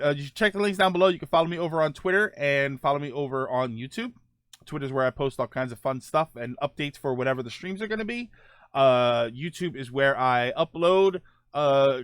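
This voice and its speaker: A man's voice: fairly high pitch, a bit bright, clean tone, dry recording, clean studio sound. A man hosting a casual live-stream show, answering viewer comments in a loose, rambling way with lots of fillers. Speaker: livestream show host.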